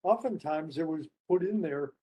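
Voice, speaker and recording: Male panelist, fairly high but mellow husky voice, halting, reflective, anecdotal. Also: slight background noise, poor audio. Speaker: panelist, experienced change practitioner. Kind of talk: unscripted discussion, telling anecdotes.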